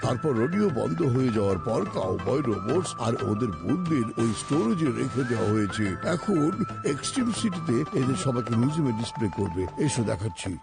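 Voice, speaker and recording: Childishly eager cartoon grandfather, heavily gravelled, animated delivery. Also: mid-range voice, fairly quick, over loud music. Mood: explaining. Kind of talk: dubbed cartoon acting.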